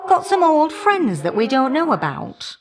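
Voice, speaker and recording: A woman's voice: high-pitched, huge pitch swings, unhurried, heavily acted. A woman voicing a feisty, folksy elder goddess of flame in a video game, sassy and affectionately teasing. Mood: concerned, musing. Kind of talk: video game character dialogue.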